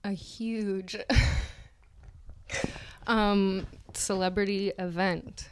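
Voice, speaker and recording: A woman co-hosting a podcast in casual comedic chat, halting and often trailing off. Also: mid-range voice, fairly even intonation, smooth. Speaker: podcast co-host.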